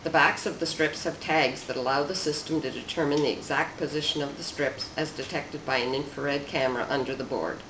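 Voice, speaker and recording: A narrator of medium pitch reads a scripted technical demonstration. The delivery is measured and explanatory. The recording is roomy and echoey, with noticeable noise behind the voice. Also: steady tone, fairly bright tone. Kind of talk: scripted narration of a research demo.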